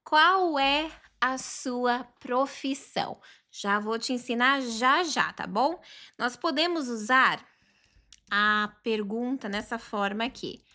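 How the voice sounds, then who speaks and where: A woman in her thirties teaching a chatty online English lesson, often checking in with the learner and slowly repeating phrases for practice. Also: a little high, sharp tone.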